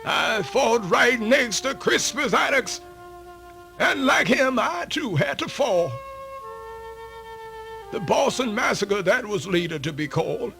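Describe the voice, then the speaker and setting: Radio play lead: a centenarian American yarn-spinner, spirited and folksy, bright gravelly voice, dramatic drawn-out delivery. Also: male voice, extremely high pitch, big pitch swings, faint music behind, heavily acted. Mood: proud, reminiscing. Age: older. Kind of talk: theatrical radio monologue, folksy oral storytelling.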